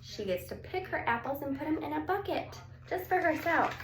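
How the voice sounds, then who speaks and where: A woman's voice: high voice, lively intonation, a little fast. A woman reading a picture book aloud to young children, drawing out words and putting questions to her listeners. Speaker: story reader for young children. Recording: roomy echo, some background noise.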